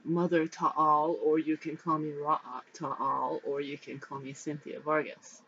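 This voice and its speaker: An American in their fifties from Los Angeles, giving a personal talk to camera in a reflective, halting, rambling manner. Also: mid-range voice, level tone, pushed and bright. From Los Angeles, United States. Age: 50s. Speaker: spiritual storyteller. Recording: dull, muffled sound with background noise.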